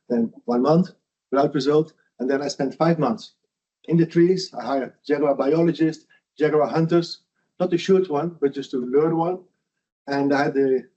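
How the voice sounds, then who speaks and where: A man's voice: mid-range voice, dark tone, slightly rough. A middle-aged man from the Netherlands, a foundation founder giving a lunch lecture in an earnest, conversational way with frequent restarts. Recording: dull lo-fi sound.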